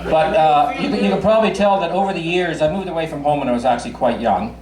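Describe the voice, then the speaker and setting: A man's voice: a little high, fast. A man in his fifties from Newfoundland with a faint Newfoundland accent, doing crude, chatty stand-up in a bar and swearing freely. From Newfoundland, Canada. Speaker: stand-up comedian. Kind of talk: stand-up comedy. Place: bar stage. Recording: echoey bar with crowd.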